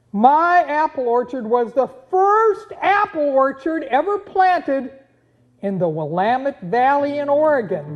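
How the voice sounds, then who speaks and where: A woman voicing an excitable, folksy pioneer farmer in a children's puppet show, full of exclamations. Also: high-pitched. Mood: proud. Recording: dull lo-fi audio, guitar music behind.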